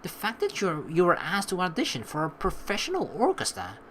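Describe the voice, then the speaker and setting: Animated low-voiced woman doing game commentary. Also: a little fast, a little hiss or hum. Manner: animated, informal. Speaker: let's play host. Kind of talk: game commentary mixed with reading dialogue aloud.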